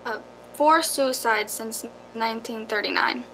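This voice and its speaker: A woman playing a calm, practical real estate agent in a radio drama, matter-of-fact and reassuring. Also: on the high side, unhurried, a little hiss or hum, low-quality recording.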